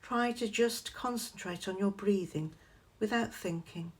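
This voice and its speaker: Slow-paced woman leading guided meditation. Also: mid-range voice.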